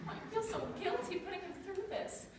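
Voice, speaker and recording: Young woman as anxious romantic girlfriend, melodramatic stage delivery, very high voice, distant mic. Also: fairly quick. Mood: fretful. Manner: earnest, melodramatic. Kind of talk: school readers' theater comedy. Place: school chapel stage before an audience. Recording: very echoey hall, distant mic, faint noise.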